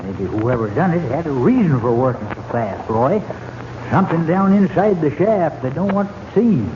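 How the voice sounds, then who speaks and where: A man's voice: a little high, animated, moderate pace, close to an everyday voice. A man playing a crusty, hot-headed old cowboy sidekick with a rural Western American accent and folksy slang in a radio western. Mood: grumbling, folksy. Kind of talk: 1940s radio western acting. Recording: dull, noisy old radio recording.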